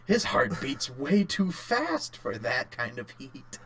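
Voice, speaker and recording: Bright-voiced man with lively, jokey delivery. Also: medium pitch, slow pace. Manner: jokey, riffing interjections. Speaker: podcast co-host. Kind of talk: casual joking film chat.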